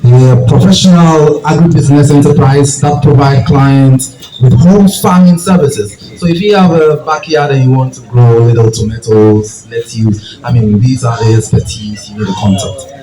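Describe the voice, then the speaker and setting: A man introducing speakers at an event in a formal, halting way. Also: mid-range voice, fairly even intonation, warm tone. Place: event venue with audience. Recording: distant, echoey room with faint music.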